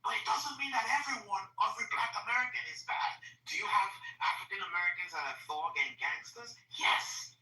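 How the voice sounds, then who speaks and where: A woman's voice: mid-range voice, fairly quick, few pauses, bright, forceful tone. A woman in her forties from Nigeria with a Nigerian accent, arguing her point insistently in a heated conversation. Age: 40s. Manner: emphatic, insistent, repeats herself while making a point. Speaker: discussion participant. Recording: roomy echo, distant mic.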